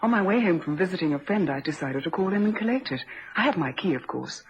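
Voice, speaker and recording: Solicitous, practical office woman, radio drama. Very animated intonation, quick delivery, muffled vintage recording. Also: on the low side, noisy background. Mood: explanatory. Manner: solicitous, probing, proper. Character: concerned, briskly practical female office employee.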